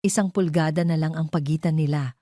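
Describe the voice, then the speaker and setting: A woman reads a scripted romance story as its narrator. Her voice is very low and a little mellow, with dramatic delivery. The recording is dry and close. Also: steady tone, brisk, no echo.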